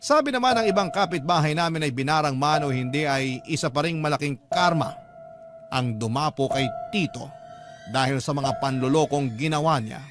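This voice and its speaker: A man's voice: fairly high pitch, moderate pace, natural voice. An actor playing an earnest young letter sender, narrating his ghostly past in a reflective, polite first-person radio drama style. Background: background music.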